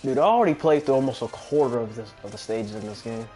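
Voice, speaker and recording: A young man talks through a video game as he plays, in a mid-pitched voice. His commentary is casual, chatty and peppered with swearing. Game music runs faintly behind him. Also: fast talker, warm tone. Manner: profane, offhand, chatty. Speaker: gaming video host.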